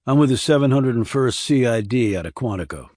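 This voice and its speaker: A composed military detective from a crime audiobook, acted by a male narrator. He speaks at a medium pitch, calm and businesslike, in a dry recording free of room sound. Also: fairly quick, dry recording, natural voice. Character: composed, businesslike male army criminal investigator.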